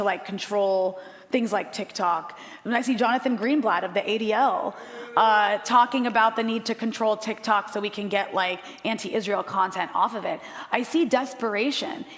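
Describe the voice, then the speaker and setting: A woman's voice: on the high side, in a large hall. A woman journalist speaking on a live panel, passionate and blunt, with frequent "um" fillers. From United States. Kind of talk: impassioned panel talk. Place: live panel in a room with an audience.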